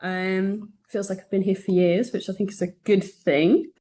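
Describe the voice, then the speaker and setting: A woman's voice: mid-range voice, little pitch movement, moderate pace, warm tone. A woman answering as an interview guest in an easygoing, informal way. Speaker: arts programme associate, interview guest.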